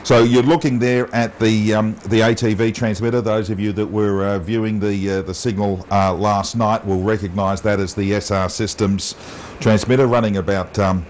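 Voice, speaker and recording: Australian man, slightly low pitch, amateur presenter, frequent hesitations, unscripted, light background noise. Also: flat delivery, a little mellow. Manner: halting, with long um and uh pauses. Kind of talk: unscripted walk-through of a station setup. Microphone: wireless mic pack. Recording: noisy amateur TV transmission audio.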